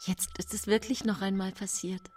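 A woman's voice: medium pitch, flat delivery, moderate pace, distant mic, music in the background, close to an everyday voice. An actress playing a hopeful, self-dramatising expectant woman in her thirties, speaking an inner monologue in a radio drama. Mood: hopeful, elated.

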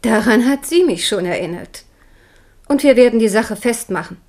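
A woman's voice: on the high side, lively intonation, normal speed, darkish tone, lightly acted. An actress playing a proud, passionate Protestant queen and mother in a 1970s radio drama, indignant and fervent.

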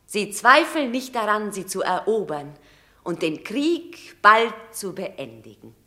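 Proud, fierce Roman matron, bright and sweeping. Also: female voice, medium pitch, very animated, slow pace, exaggerated voice. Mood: brisk, confident. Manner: declamatory, emphatic, passionate. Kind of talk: classical stage-style radio drama acting.

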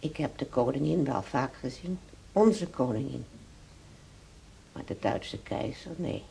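A woman's voice: animated, measured pace, vocal fry, dark tone, full character voice. An actress playing a curious, plain-spoken woman who gives hesitant, brief replies in a radio drama. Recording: radio play with noticeable rumbling noise.

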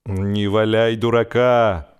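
A man voicing a sullen, indignant, accusing man with emphatic, drawn-out grumbling in a comic audiobook. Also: fairly low pitch, flat delivery, clean tone. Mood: grumpy, accusing.

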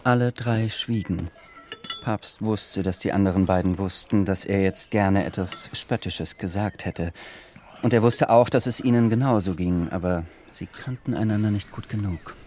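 Low-voiced male radio drama narrator. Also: normal speed, darkish tone, a little hiss or hum.

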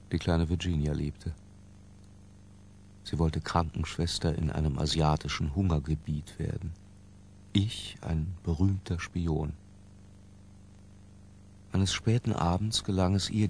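Very deep, gravelly confessing prisoner. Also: male voice, slight background noise. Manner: hushed, lingering, sometimes falling to a whisper. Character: Humbert, imprisoned man confessing his obsessive boyhood love. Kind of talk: literary first-person radio drama narration.

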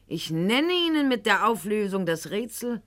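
A woman's voice: medium pitch, very animated, normal speed, a bit bright. An actress playing a proud, calculating eighteenth-century noblewoman in a radio drama monologue, with formal, haughty and eloquent diction addressed to her husband. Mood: imperious.

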